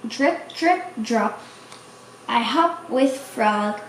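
Woman reading as a high-pitched little storybook duckling. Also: animated, drawn-out words, recorded from a distance, heavily acted. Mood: happy, playful. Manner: simple sing-song rhyming storybook delivery. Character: young duckling, playful, childlike, fond of rain. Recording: echoey room, faint noise.

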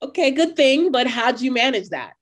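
A woman's voice: sits high, animated, moderate pace. A woman playing a guarded, direct and skeptical young job seeker in an online play reading. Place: indoors on a video call. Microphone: video call microphone.

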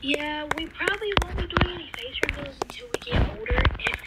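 A woman's voice: extremely high pitch, expressive, brisk. A young girl from California, co-hosting a gaming channel in casual gameplay chat, rambling and often dropping to a whisper. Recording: loud background noise over game audio.

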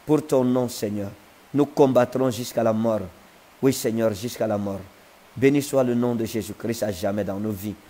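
A man's voice: medium pitch, mellow. Fervent man praying over earphone mic. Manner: fervent, slow incantatory praying in tongues; emphatic, repetitive preaching. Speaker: prophet. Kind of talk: livestream prayer and sermon. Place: living room with a sofa, indoors. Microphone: wired earphone mic.

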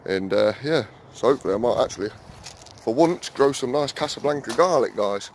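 A man's voice: medium pitch, animated, a little fast, a little hiss or hum. A man chatting casually to viewers while walking around his allotment and shed, full of fillers and calling them guys. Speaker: allotment vlogger. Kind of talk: casual walk-and-talk vlog. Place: outdoor allotment, then small garden shed.

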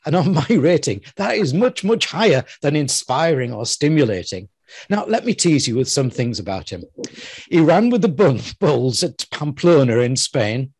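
An older man hosts with a lively, swooping delivery, throwing big emphasis on his words. His voice sits fairly high for a man and sounds close and dry, with no room around it. He speaks into a headset mic, as if introducing a guest in a studio. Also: moderate pace, husky, no echo. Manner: lively, playful, theatrical emphasis. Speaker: host. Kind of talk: interview intro and questions.

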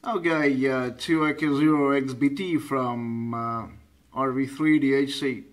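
A man's voice: mid-range voice, fairly even intonation, a little slow. A man with a Russian accent chatting as a ham radio operator, hesitant and searching for words. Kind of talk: casual radio contact chat. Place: indoors at a computer running radio software.

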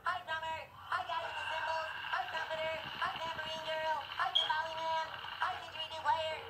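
An actor voicing a cheerful, childlike male cartoon sea sponge, squeaky, eager and exuberant. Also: monotone, a little fast, sharp tone, dry recording, rough recording. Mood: gleeful greeting. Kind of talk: cartoon voice acting. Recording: cartoon filmed off a TV, music behind.

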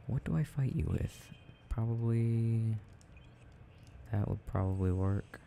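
A man murmuring haltingly to himself in an ASMR style as he rambles while playing a video game. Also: fairly low pitch, vocal fry, mellow, a little hiss or hum. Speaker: audio performer playing a boyfriend gaming.

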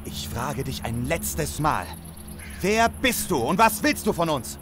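An actor playing a distrustful, hot-tempered survivor in a German zombie audio drama, stern and interrogating. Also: male voice, a little high, sing-song, slow pace, over music. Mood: angry.

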